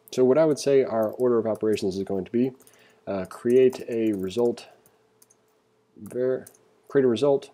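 A man's voice: on the low side, warm tone. A man giving a casual coding tutorial, informally thinking aloud as he reads and explains. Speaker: programming instructor.

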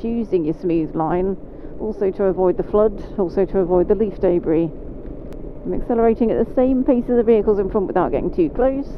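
A woman motorcycle instructor with a British accent, calmly talking through each step as she rides. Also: mid-range voice. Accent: British English. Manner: calm, measured, talks through each riding step. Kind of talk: instructional commentary while riding. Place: on a motorcycle on wet roads in rain. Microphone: helmet mic while riding. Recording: phone-like helmet mic, road and engine noise.

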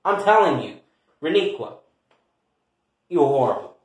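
A sassy, exasperated meddler played by a man scolds with drawn-out emphasis and plenty of swearing. The voice is fairly high and swoops in pitch, in a very echoey room, as comedy skit acting. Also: sing-song. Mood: mocking. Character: sassy, exasperated, meddling peacemaker who eggs on feuds.

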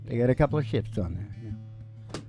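Mellow older man rambling over guitar. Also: medium pitch, lively intonation, normal speed, vocal fry. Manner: meandering, laid-back storyteller with trailing-off asides. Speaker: musician and show host. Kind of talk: rambling, folksy stage banter. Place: small indoor stage with curtain. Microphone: stand microphone, close. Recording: live music behind the voice. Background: acoustic guitar picking.

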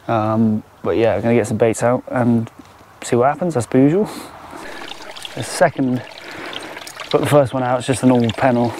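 A man with a mellow, relaxed voice talks casually as an angler presenting his own outdoor vlog. His delivery is unscripted and chatty, at a medium pitch. Faint water noise sits behind him as he speaks out in the open. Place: outdoors by a fjord shore.

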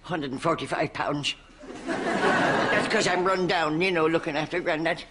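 A man's voice: fairly high pitch, expressive, a little slow. A man playing a foul-mouthed, scheming older Irish mammy in a sitcom, with a brash, put-upon manner and an Irish accent. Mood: feigned weariness. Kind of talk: broad sitcom acting in drag. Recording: noisy studio sitcom sound.

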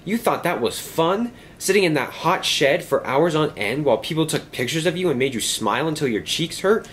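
A man's voice: a little high, expressive, fairly quick, a bit bright, a little hiss or hum, voice pushed up. A man voicing a grumpy, disapproving male engine who grumbles scornfully. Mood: grumbling.

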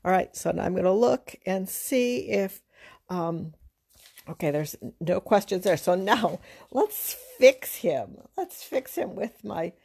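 A middle-aged woman giving an informal drawing lesson, thinking aloud in a halting, unhurried, conversational way as she works. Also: mid-range voice, lively intonation, gravelly, darkish tone, slightly rough. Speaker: art teacher. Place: indoors at a drawing table.

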